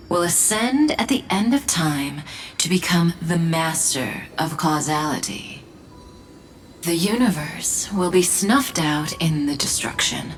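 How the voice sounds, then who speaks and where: An actress playing a composed, knowing woman in an English game dub, speaking in a deliberate, solemn, reassuring way over music. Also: on the low side. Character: composed, knowing woman prophesying fate to a chosen traveler.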